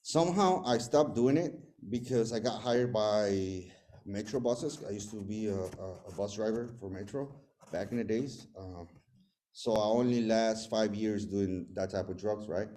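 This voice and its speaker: A man's voice: fairly low pitch, unhurried, dark tone. A man in his forties from El Salvador with a Salvadoran Spanish accent and Spanish as his first language, sharing his recovery story at a meeting, nervous and hesitant, with many fillers and restarts. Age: 40s. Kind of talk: personal recovery testimony.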